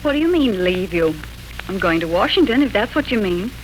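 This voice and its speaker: An actress playing a breezy, wry grown daughter and aunt in a 1940s radio family serial. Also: female voice, mid-range voice, very animated, brisk, close to an everyday voice. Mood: questioning, serious. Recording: noisy 1940s radio recording.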